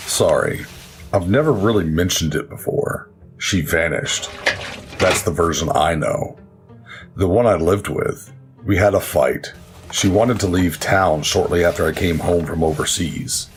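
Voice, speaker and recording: Very deep-voiced, troubled man, played by an actor. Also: measured pace. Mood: somber. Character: troubled, grieving male ally, earnest and determined. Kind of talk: noir audio drama dialogue. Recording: music behind the voice. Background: music.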